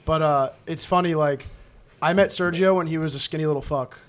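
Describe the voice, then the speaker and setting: Fast-talking young man, rambling casual chat. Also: on the high side. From New Jersey. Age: 20s. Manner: rapid, rambling, heavy use of "like". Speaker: podcast guest.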